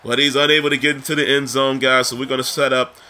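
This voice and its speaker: A man hosting a gameplay video with casual commentary addressed to viewers, his voice scratchy and raspy from being under the weather. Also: medium pitch, level tone, quick pace, bright, forceful tone. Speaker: gaming video host.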